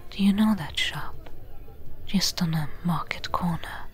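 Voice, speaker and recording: A seasoned female adventurer, played by a woman, whispers practical advice in a fantasy ASMR roleplay. Her voice is breathy and a little low. She takes her time over each phrase, gentle and caring, like someone readying a companion for the desert. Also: natural voice. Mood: gentle, advising. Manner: gentle, unhurried, advising.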